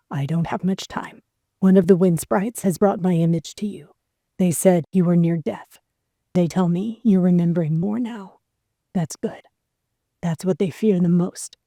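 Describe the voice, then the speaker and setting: A woman voicing a battered young man who speaks in a hushed, urgent way. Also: on the low side, no echo, studio quality.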